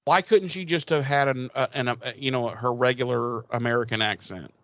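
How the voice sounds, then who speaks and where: A man's voice: medium pitch, brisk, a bit bright, dry recording, highs cut off. A man co-hosting a podcast, giving casual, opinionated commentary in a blunt, emphatic, foul-mouthed way. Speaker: podcast co-host.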